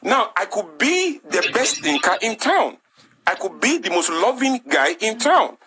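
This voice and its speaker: A man's voice: high voice, very animated, measured pace, bright, forceful tone. A man with a Nigerian English accent making an impassioned talk-show argument, emphatic and rhetorical, repeating his key phrases. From southwest Nigeria.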